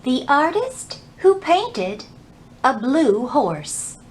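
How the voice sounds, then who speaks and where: A woman hosting a children's storytime, reading and teaching in an animated way with careful enunciation for young listeners. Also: sits high, drawn-out words. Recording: roomy echo, faint background noise.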